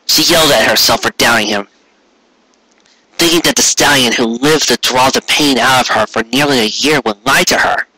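Bright-voiced man narrating dramatically. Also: mid-range voice, moderate pace, slightly rough, low-quality recording. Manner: theatrical, earnest. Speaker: amateur fan fiction reader.

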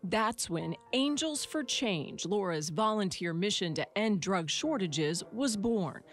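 A woman's voice: mid-range voice, expressive, takes it slowly. A woman with an American accent reads scripted news feature narration as a reporter. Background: music under narration.

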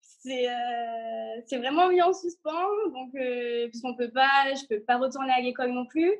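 A woman in her twenties speaks from a room on a video call. Her voice is on the high side with some huskiness, and she answers questions in a casual way. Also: steady tone, unhurried. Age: 20s. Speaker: guest, dance student.

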